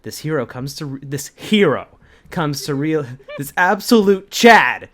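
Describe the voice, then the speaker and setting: Male host, mid-pitched and very animated, unhurried mock-dramatic reading with amused asides. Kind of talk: casual comedic reading with commentary.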